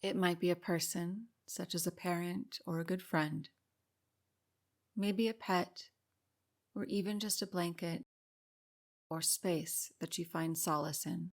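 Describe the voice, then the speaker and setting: A woman leading a guided meditation in a calm, soothing, unhurried way, pausing between short instructions. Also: on the low side. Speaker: meditation guide and podcast host.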